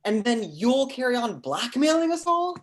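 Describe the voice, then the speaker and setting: A man's voice: very high-pitched, sing-song, moderate pace. A man playing a male undercover federal agent, defiant and smug, in an informal read-through of a film script. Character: meek guest revealed as triumphant undercover federal agent, male.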